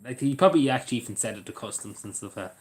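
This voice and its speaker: A man's voice: mid-range voice, animated, racing. A man chatting casually on a livestream, rambling and hesitant with lots of fillers.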